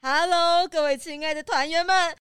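女聲演的高亢招呼團員的變色龍團長. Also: female voice, extremely high pitch, fairly even intonation, moderate pace, fairly bright tone, soft background music. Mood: welcoming. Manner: announcing, organising the group. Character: welcoming, brisk chameleon tour leader. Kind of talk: children's detective audio drama acting.

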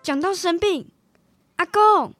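A very high-pitched little Taiwanese boy. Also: takes it slowly, a bit bright, faint music behind, exaggerated voice. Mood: concerned, caring. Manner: childlike, earnest. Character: earnest young grandson, devoted to grandpa. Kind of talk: radio drama acting.

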